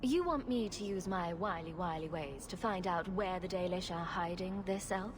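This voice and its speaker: A woman's voice: on the low side, normal speed, natural voice. A woman playing a sarcastic female rogue champion in a video game, with a dry, wry delivery. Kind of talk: video game character acting. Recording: clear background ambient noise.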